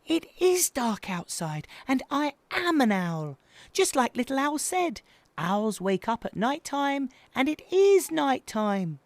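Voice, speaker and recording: A storyteller voicing an excitable, impatient little boy owl in an insistent, exclamatory way for a children's story. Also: very high voice, slow, creaky, no echo, voice pushed up, heavily acted. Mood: defiant.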